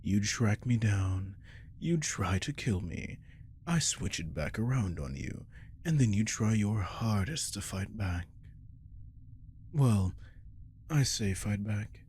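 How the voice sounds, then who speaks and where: A man's voice: lowish voice, lively intonation, takes it slowly, long pauses, a little mellow, slight background noise. A man voicing a captive werewolf in an ASMR roleplay, cocky and predatory, with taunting, flirtatious drawls that often drop toward a whisper. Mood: predatory flirting. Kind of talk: ASMR roleplay audio drama.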